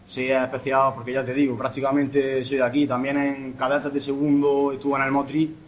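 A young man, a footballer, answering post-match interview questions in a modest, halting way. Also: medium pitch, monotone, a little hiss or hum. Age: young adult. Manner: halting, modest, pausing to restart.

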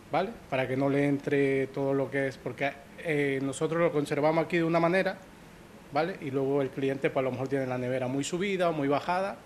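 A man's voice: a little high, a little hiss or hum. A man from Ecuador, the manager of a pastry shop, talking in an interview with many fillers and restarts. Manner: hesitant, repeats words, many fillers. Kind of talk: interview soundbite.

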